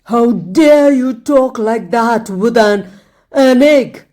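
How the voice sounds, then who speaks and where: A woman voicing a wicked king who is cold, commanding and menacing, and who speaks slowly and deliberately. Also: low-pitched, warm tone, voice pushed down.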